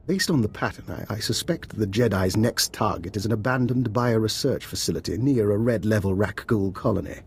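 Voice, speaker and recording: A male actor playing a genteel, calculating imperial intelligence fixer in a video game, speaking in a measured, courteous, formal way. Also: fairly low pitch, breathy edge. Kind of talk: scripted game cutscene dialogue.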